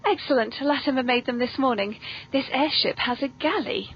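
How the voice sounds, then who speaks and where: High-voiced, genteel British woman hosting. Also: normal speed. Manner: genteel, playfully theatrical, polite. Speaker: podcast host. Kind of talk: whimsical scripted hosting and genteel chat. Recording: dull lo-fi recording, background noise.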